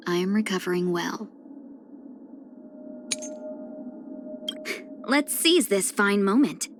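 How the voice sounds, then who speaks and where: A woman voicing a video game character, a gentle, wistful fox-woman who speaks softly and politely in measured, reflective lines. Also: medium pitch, expressive, soft background music, natural voice. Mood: quietly resolved. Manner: soft-spoken, measured, reflective, drifting into breathy near-whispers; polite and refined.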